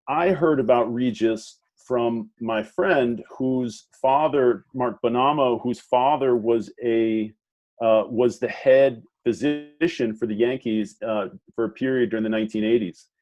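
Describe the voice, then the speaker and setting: A man moderating an online talk, speaking haltingly with many fillers and restarts. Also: mid-range voice, darkish tone, lo-fi sound. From Washington, D.C. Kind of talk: hesitant conversational introduction.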